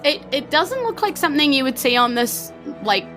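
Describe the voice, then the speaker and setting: A woman's voice: high-pitched, big pitch swings, normal speed, pushed and bright, soft background music. A woman with a New Zealand accent, narrating a tabletop game as game master, describing scenes while searching for words.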